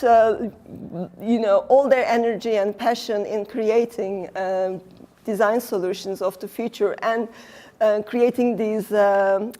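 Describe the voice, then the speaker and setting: A middle-aged woman from Turkey with a Turkish accent, a design researcher talking casually in an interview, pausing often with fillers. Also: medium pitch, mellow. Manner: thoughtful, many fillers, laughs often. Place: design exhibition venue.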